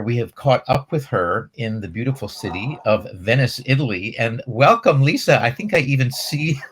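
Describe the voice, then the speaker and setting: A man hosting a film festival Q&A, speaking in an articulate, effusive way with measured phrasing. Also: mid-range voice, fairly bright tone, husky. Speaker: festival host interviewing a film director. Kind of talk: moderated Q&A interview.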